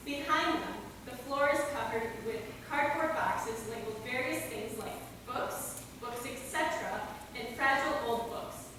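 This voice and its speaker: A woman's voice: on the high side, normal speed. A woman narrator reading scripted stage directions for a play reading. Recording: echoey hall, distant mic, clear noise.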